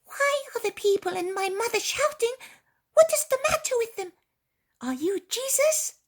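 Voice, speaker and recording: Woman voicing an eager, very high-pitched little boy. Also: animated, normal speed. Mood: puzzled, excited. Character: innocent, trusting little boy, joyful and confiding. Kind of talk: dramatised religious story reading.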